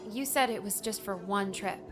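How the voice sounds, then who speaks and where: A woman's voice: medium pitch, moderate pace, natural voice. An actress playing a wary, bewildered young woman adventurer in a dramatized audiobook. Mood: confused, disbelieving. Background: soft background music.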